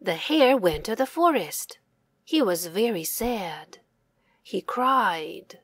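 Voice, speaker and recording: A woman narrating a children's story aloud with careful, expressive enunciation for young English learners. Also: medium pitch, slow pace, husky, dry recording. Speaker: storybook reader.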